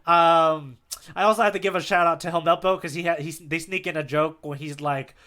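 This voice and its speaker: A man co-hosting a podcast, chatting casually in an animated, slangy way with plenty of "like". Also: on the high side, fairly quick. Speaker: podcast co-host. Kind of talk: casual chat, manga readalong commentary.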